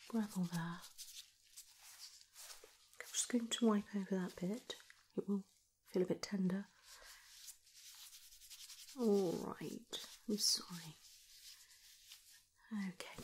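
Soft-whispering woman, slow and soothing. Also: medium pitch, slight background noise, low-quality recording. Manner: soothing, reassuring, gently shushing. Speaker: carer tending a child's graze. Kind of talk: ASMR first-aid roleplay.

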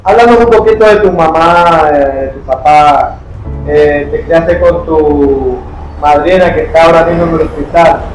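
A man interviewing and gently questioning a guest, often repeating words as he goes. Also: a little high, moderate pace. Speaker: interviewer giving money. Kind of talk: scripted charity interview. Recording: echoey room with music behind.

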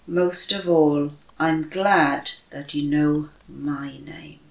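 Slow-paced woman in an echoey room. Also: sits low, fairly even intonation, vocal fry. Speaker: children's church story reader. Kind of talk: slow expressive read-aloud and prayer for children. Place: bright room at home by a window, indoors. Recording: roomy echo.

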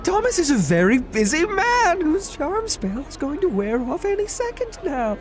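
A woman's voice: very high voice, big pitch swings, normal speed, a crowd in the background. A woman voicing a sassy, theatrical male elf wizard with a dramatic, sarcastic delivery. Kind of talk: comic dub voice acting.

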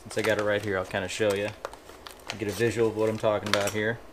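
A man's voice: fairly low pitch, little pitch movement, quick pace, background noise. A man explaining a hands-on build casually and informally, thinking aloud as he goes in a garage workshop. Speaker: host of a motorcycle build channel. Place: small garage workshop, indoors.

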